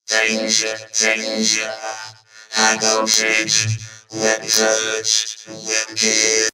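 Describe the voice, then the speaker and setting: A performer voicing a monotone robotic figure over electronic synthesizer music, distant and cavernous. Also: medium pitch, extremely slow. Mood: droning, intense.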